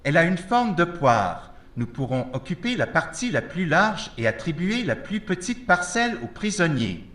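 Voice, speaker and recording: A man playing a solemn, formal spokesman for wartime avengers in an audio drama, speaking in a measured way. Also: a little high, sing-song, fairly bright tone. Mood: neutral, translating. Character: solemn meeting speaker and interpreter for war avengers. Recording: very echoey room.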